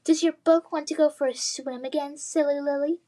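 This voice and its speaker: A woman's voice: high voice, fairly even intonation, moderate pace, dark tone, pitched above the performer's own voice, lightly acted. A woman voicing a cruel, mocking bully boy in a children's fantasy storybook. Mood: sneering, taunting. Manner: mocking, taunting.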